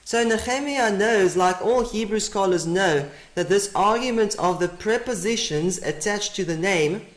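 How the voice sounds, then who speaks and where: A man's voice: high voice, unhurried, hardly any pauses. A man whose first language is Afrikaans, giving a methodical teaching presentation and repeating his key points. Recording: roomy echo.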